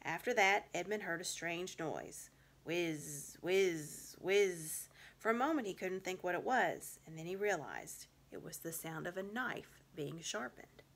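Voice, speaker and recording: A woman's voice: fairly low pitch, animated, measured pace, fairly bright tone. A middle-aged woman reading a children's story aloud at home. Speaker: reader. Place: small home room, indoors.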